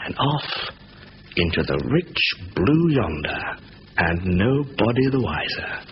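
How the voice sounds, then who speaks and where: An actor playing a cool, sly, confident bank thief with playful patter in a 1970s radio drama. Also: male voice, fairly low pitch, big pitch swings, slow. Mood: gloating. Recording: muffled, hissy old radio broadcast recording.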